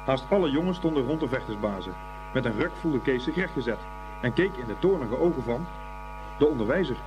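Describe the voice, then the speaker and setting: A man's voice: mid-range voice, animated, fairly quick, lo-fi sound. A man narrating a scripted story as the narrator of a radio play. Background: music.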